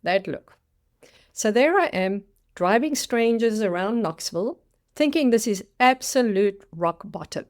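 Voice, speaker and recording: A woman's voice: mid-range voice, animated, measured pace. A woman in her sixties with a South African accent, telling her own career story in a scripted, dramatic monologue as a career coach. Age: 60s. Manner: dramatic storytelling with acted-out quotes.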